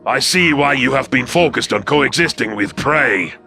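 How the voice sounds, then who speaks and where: A man voicing an earnest male alien predator warrior in a sci-fi audio story, gravelly, intense and halting. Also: mid-range voice, lively intonation, bright, forceful tone, soft background music, voice pushed up. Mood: weary.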